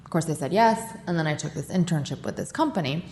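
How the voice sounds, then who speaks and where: A woman being interviewed on a podcast, telling her career story in an animated, self-mocking way. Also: sits low, fast. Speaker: guest, war correspondent. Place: charity office, roomy. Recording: echoey room with faint noise.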